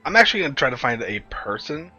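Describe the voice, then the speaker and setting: A man's voice: medium pitch, sing-song, quick pace, pushed and bright, husky, muffled recording, faint music behind. A man playing in an online tabletop game, chatting casually and joking crudely with free swearing.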